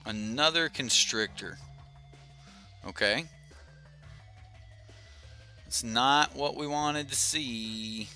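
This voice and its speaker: A young man narrates his card game live, rambling through each move with frequent fillers and self-corrections. His voice is very bright and forward, at a middling pitch for a man. Soft music plays faintly behind him. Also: drawn-out words, pauses often. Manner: chatty, thinks aloud with many fillers and restarts. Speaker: streamer playing a card game. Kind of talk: casual live-stream commentary.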